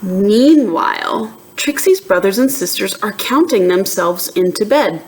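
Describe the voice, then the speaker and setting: A woman reading a children's picture book aloud in an expressive, deliberate teacher's manner, pausing to ask young listeners questions. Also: mid-range voice, a little hiss or hum, rough recording.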